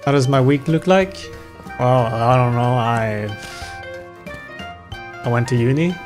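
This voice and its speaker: A man's voice: mid-range voice, takes it slowly. A young man with a non-native English accent, chatting casually as he streams a game, rambling and self-deprecating. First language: not English. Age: young adult. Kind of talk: casual chat while gaming. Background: music.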